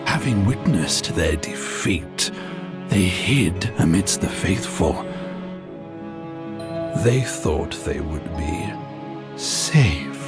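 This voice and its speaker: Grave zealot narrator for a dark game, really slow, music loud behind. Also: male voice, deep voice, animated, heavily acted. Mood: solemn, grim. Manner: grave, deliberate, fervent. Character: solemn zealous narrator of holy vengeance. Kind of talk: dramatic scripted narration.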